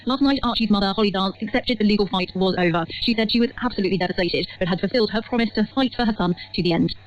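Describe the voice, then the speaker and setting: Very fast female reporter, muffled radio. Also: mid-range voice. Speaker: news reporter. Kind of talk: scripted news report. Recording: muffled shortwave radio sound.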